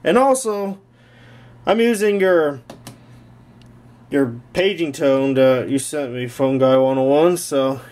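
A man's voice: on the high side, slow, long pauses, a little mellow. A man hosting a hobby tech vlog, casually narrating a tour from behind a handheld camera in a small room at home. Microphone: handheld camera held by the speaker.

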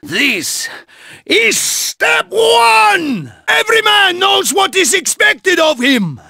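A battle-hardened Russian rebel leader for a video game. He is fierce and rousing, with a gravelly grain in his voice. Every phrase is weighed out slowly and driven home with emphasis. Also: extremely high pitch, big pitch swings, sharp tone, heavy reverb, shouting, long reverb tail, recorded from a distance, heavily acted. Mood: commanding, fired-up. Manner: slow, emphatic, rousing delivery. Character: fierce Russian soldier prisoner leading a revolt.

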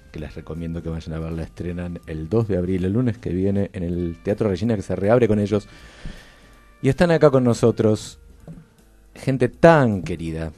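Older Argentine radio host, deep voice, emphatic. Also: male voice. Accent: Rioplatense Spanish. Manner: warm welcoming, stretches words for emphasis. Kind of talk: radio interview. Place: small radio studio with foam walls. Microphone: studio mic and headphones.

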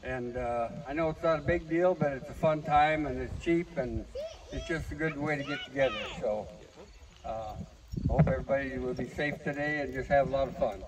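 A man's voice: mid-range voice, moderate pace. Male organizer, crowd address, far from mic, outdoor noise. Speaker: event organizer. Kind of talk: public announcement to a crowd. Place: outdoors at a race track.

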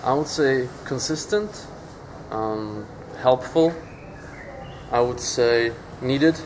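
A man's voice: medium pitch, slow pace, slight background noise, rough recording. Young Polish-born man, casual outdoors. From Poland. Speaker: volunteer. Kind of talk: casual interview answers. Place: outdoors by a brick wall.

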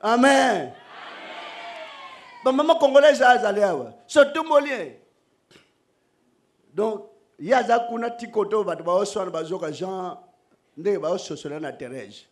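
Middle-aged male preacher, very high voice, lively, joking, shouts at times, stand mic, outdoor stage. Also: measured pace, long pauses, a faint crowd. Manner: animated, joking, switching between shouting and soft asides. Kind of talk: sermon. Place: outdoor church stage under a canopy. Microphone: stand microphone.

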